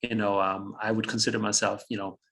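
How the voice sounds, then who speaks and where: A man's voice: fairly low pitch, flat delivery, a little fast, vocal fry, a bit bright, rough recording. A German-speaking man in his fifties from Austria, a guest on an interview, telling his story reflectively, chuckling often and restarting phrases.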